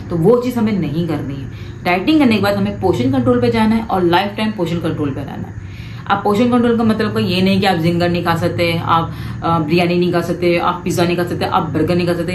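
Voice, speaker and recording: A woman's voice: on the low side, reverberant, background noise. A woman, giving direct, coaxing advice to viewers in a casual vlog from her living room. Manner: animated, gestures a lot with both hands. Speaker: vlogger. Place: living room, seated on a sofa.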